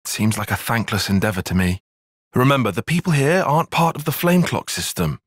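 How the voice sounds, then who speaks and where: A man's voice: medium pitch, sing-song, a little fast, no echo, studio quality. A man with a British accent playing an earnest, analytical young soldier in a video game, speaking in formal, precise phrases. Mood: explanatory. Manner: formal, precise, bookish wording. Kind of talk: video game cutscene acting.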